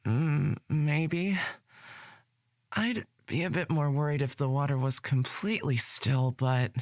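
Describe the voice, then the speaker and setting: An actor playing a gentle, optimistic young man in a fantasy visual novel, soft, hesitant and reassuring. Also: fairly high pitch, steady tone, slow pace, no echo, clean studio sound.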